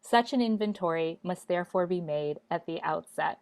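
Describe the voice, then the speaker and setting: Low-pitched woman, measured co-host. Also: a little mellow, clear, resonant tone. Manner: measured, reads quotes aloud, some fillers. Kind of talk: conversational discussion.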